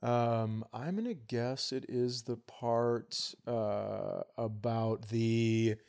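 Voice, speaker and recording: A man's voice: medium pitch, drawn-out words, creaky, a little mellow. A man co-hosting a comedy podcast, giving blunt, profane, mock-authoritative takes in casual banter.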